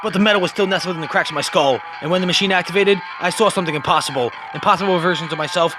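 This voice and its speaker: A man's voice: sits high, racing, over music, pitched below the performer's own voice, close to an everyday voice. A man voicing a brooding, grave masked vigilante from a comic book in a solemn dramatic reading. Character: brooding, grave male masked vigilante hero, obsessive and secretive.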